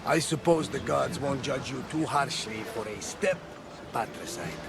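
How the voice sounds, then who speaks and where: An actor playing a loyal, devout older ship captain with a gravelly voice in a video game. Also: male voice, mid-range voice, animated, a little slow. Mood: wry, friendly. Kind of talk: video game cutscene acting. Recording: background music under the voice.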